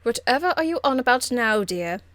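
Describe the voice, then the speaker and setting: A woman's voice: a little high, sing-song, brisk, a bit bright, dry recording. Haughty noblewoman mage for a fantasy game played by a woman: formal, condescending, icily courteous, dry close recording. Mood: poised menace. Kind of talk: video game character acting.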